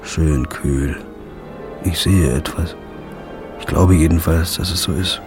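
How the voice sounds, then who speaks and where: A man's voice: a bass voice, fairly even intonation, takes it slowly, over music. A man narrating a first-person crime drama in a weary, clipped, hard-boiled manner. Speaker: narrator and protagonist.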